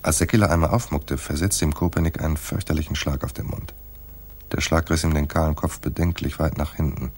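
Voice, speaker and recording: A hard-boiled private eye in a radio play. His voice is deep and rough-husky. He delivers his lines dryly, with wry understatement and a tough, terse manner. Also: fairly even intonation, fairly quick, darkish tone, heavily acted. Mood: terse, tense. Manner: dry, understated. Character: wry, tough, laconic male private detective narrator.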